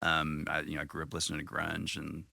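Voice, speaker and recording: A deep, gravelly baritone man talks through his singing in an easygoing, thoughtful way, often pausing on an um. His voice sits very low, has a bright edge, and the room sounds close and dry. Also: completely flat delivery, dry recording. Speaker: band singer. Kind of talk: casual interview chat.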